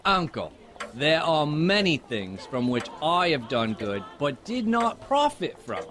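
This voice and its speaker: A man playing a cheerful, warm-hearted young nephew with a British accent, earnest and pleading, in an amateur audio drama. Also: on the high side, very animated, measured pace. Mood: earnest, heartfelt. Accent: put-on British.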